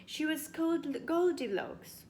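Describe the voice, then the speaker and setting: A woman's voice: sits high, lively intonation, unhurried, darkish tone, slight background noise, pitched above the performer's own voice. A woman voicing a small, innocent baby boy bear who blurts things out in a storybook. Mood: timid, confiding.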